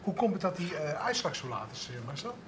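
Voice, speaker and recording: A man's voice: on the high side, sing-song, a little fast, fairly bright tone, a little hiss or hum. A male host asking short, prompting questions in a local TV studio. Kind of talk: local TV interview. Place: TV studio with seated audience.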